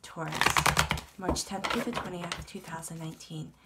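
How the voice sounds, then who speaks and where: A woman's voice: fairly low pitch, normal speed, very noisy. A woman reading tarot for her channel, congested with a cold, talking in a soothing, reassuring way and often repeating herself. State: stuffed up from a cold. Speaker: tarot reader. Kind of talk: tarot reading monologue to camera.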